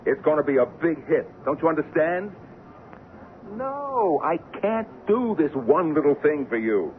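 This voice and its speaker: Casting: proud, exasperated producer, effusive and highly animated, old-time radio play, narrow hissy sound. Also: male voice, measured pace. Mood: exasperated, frantic. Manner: emphatic, repetitive, effusive. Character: excitable, exasperated Broadway producer, proud and theatrical.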